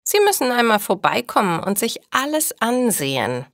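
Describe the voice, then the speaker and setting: Woman reading as a chatty, animated older neighbour lady. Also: a little high, normal speed, no room sound, studio quality, pitched above the performer's own voice. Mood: excited, friendly. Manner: enthusiastic, talkative. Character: chatty, eager elderly neighbour woman, keen collector. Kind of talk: storybook dialogue for language learners.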